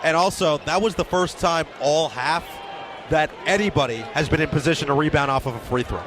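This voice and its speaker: Fairly high-voiced male basketball analyst. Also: fairly quick, crowd noise, background noise. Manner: emphatic, punchy phrasing. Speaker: colour commentator.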